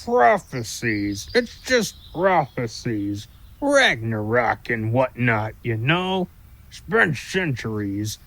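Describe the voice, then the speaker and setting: A man's voice: mid-range voice, very animated, slow pace, fairly bright tone, full character voice. A man voicing a sly, mean, gossiping squirrel in an audio drama, flippant and boastful as he tells his tales. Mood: breezy, gossipy. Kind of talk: audio drama character acting.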